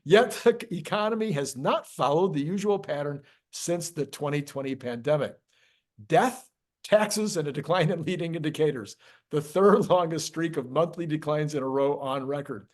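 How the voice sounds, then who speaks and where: A man hosting a casual market discussion show in a jovial way, quick to chuckle. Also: fairly high pitch, darkish tone. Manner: jovial, laughs often. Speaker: host.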